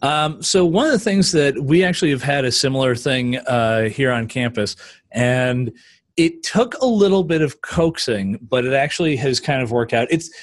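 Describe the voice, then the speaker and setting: A man's voice: medium pitch, animated, moderate pace, no room sound. A man hosting a podcast in casual chat, loose and chatty, laughing easily. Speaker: podcast host.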